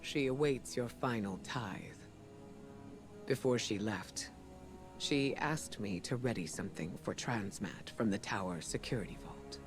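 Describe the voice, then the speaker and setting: A man's voice: on the low side, measured pace, close to an everyday voice. A man voicing a grave, steadfast ally who gives a solemn, earnest mission briefing in a video game. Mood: solemn urgency. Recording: studio voice under prominent music.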